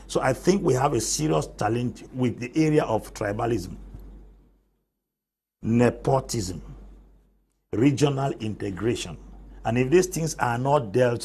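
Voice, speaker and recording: A man's voice: medium pitch, a little slow, creaky, a little mellow, breathy edge. A man speaking as a panelist on a TV debate, emphatic, with rhetorical questions and long lists. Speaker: panelist, apostle.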